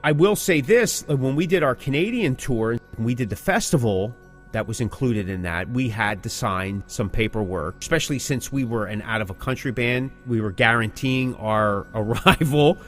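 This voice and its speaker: A man's voice: medium pitch, moderate pace. Man talking shop, music behind him. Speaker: podcast co-host and working band musician. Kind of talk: casual podcast talk.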